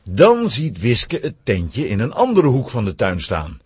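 A man's voice: medium pitch, wildly swooping pitch, unhurried, husky, dry recording. A man narrating a scripted children's story.

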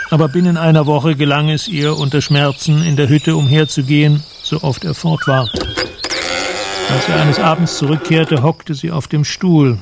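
A man narrating a literary radio play in a measured, solemn manner. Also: on the high side, vocal fry, background noise.

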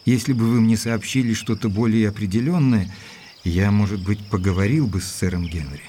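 An actor playing an earnest, gentlemanly doctor in an audio drama, speaking in a courteous, measured way. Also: male voice, fairly low pitch, steady tone, a little hiss or hum, close to an everyday voice. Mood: earnest concern. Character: earnest gentlemanly doctor, loyal and cautious, narrating. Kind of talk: audio drama narration and dialogue.

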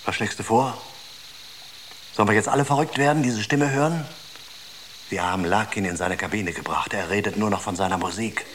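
Actor as a stern, low-voiced space expedition man. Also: a little fast, pauses often, fairly bright tone, a little hiss or hum, close to an everyday voice. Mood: exasperated. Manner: urgent, sceptical, questioning. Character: stern, worried male space expedition member. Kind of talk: German radio drama acting.

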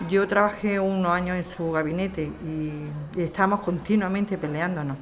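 A woman's voice: medium pitch, natural voice. A woman acting an earnest, apologetic lawyer in an audio drama. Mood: troubled. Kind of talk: audio fiction podcast acting.

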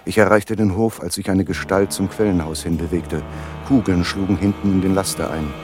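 An actor playing the armed first-person narrator of a radio thriller, recounting the action over music. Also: male voice, sits low, steady tone, fairly quick, close to an everyday voice. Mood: urgent.

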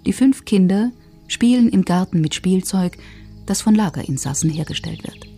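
A woman's voice: medium pitch, animated, moderate pace, warm tone, faint music behind. A woman narrating a scripted documentary in a factual, sober manner.

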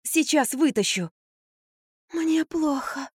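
A woman's voice: high-pitched, animated, takes it slowly, no echo, studio quality, pitched above the performer's own voice. A woman voicing a whiny, melodramatic young actress in an audiobook, groaning and strained as she wails. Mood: faint, groaning. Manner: wailing, melodramatic.

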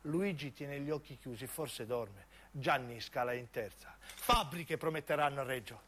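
A man narrates as a stage actor, in intense, deliberate phrases of dramatic radio storytelling. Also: mid-range voice, fairly bright tone, slight background noise. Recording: piano music under the voice. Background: piano and keyboard music.

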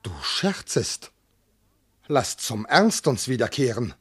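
A noble commander and husband, jealous and bewildered. The voice is very raspy and swings widely in pitch, with formal, intense declamation fit for a classical radio play. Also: male voice, big pitch swings, takes it slowly, fairly bright tone, exaggerated voice. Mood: stern, warning. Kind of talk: classical verse radio drama acting.

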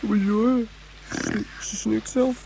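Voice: medium pitch, steady tone, takes it slowly, gravelly. Child role, huffy and earnest, in a sci-fi radio play; noisy recording. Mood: sulky. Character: young child from Ganymede, indignant, proud, easily homesick. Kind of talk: Dutch science-fiction radio play acting.

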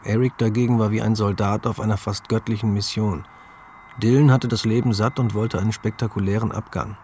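A man voicing a former student's eyewitness account as a measured German documentary voice-over. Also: fairly low pitch, steady tone, darkish tone, slight background noise, lightly acted. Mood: matter-of-fact. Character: male American former student recalling a traumatic shooting.

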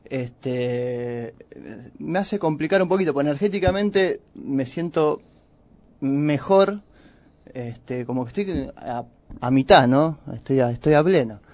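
An Argentine man co-hosting a radio show in a studio, chatting casually with frequent hesitant pauses. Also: mid-range voice, animated, darkish tone. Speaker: radio co-host.

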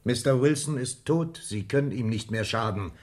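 An actor playing a gruff, sarcastic, domineering male police inspector in a German radio crime drama, speaking curtly. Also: mid-range voice, normal speed, a little mellow, natural voice. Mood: pressing, stern. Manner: curt, commanding, sardonic.

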